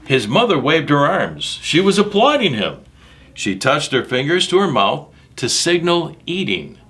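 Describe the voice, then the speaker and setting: A man's voice: on the high side, very animated, unhurried, fairly bright tone. A man reading a picture book aloud to children in a dramatic, emphatic way, speaking directly to his young listeners. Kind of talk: animated read-aloud with asides about the pictures.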